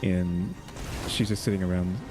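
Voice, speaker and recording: A man's voice: low-pitched, level tone, normal speed, over music. A man commentating casually on a video game he is playing, grumbling at its story. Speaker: gaming video host.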